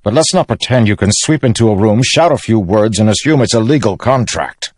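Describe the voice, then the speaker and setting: Man acting a stern older royal uncle, deep voice. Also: expressive, brisk, husky, no room sound, clean studio sound. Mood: exasperated. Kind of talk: fantasy audiobook character acting.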